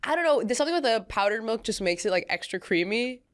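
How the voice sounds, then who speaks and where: Guest comedian, young woman, smooth clear voice, relaxed and chatty. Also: a little high, fast talker. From born in Sri Lanka, raised in San Diego. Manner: chatty, laid-back, many fillers and asides. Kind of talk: casual podcast chat.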